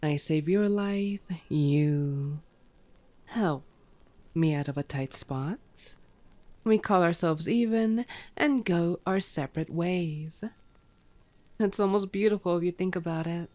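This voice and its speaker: A woman's voice: on the low side, takes it slowly, a little mellow. A woman playing a sardonic, teasing, bloodthirsty female vampire in an ASMR roleplay, drawing out words and sometimes sinking toward a whisper. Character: teasing, unrepentant bloodthirsty female vampire, old yet youthful-sounding.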